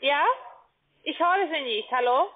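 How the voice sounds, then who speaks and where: A woman working as a call-centre agent, giving a sales pitch on the phone. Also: lively intonation, unhurried. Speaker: call-centre caller pitching trading accounts. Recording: tinny narrowband phone line.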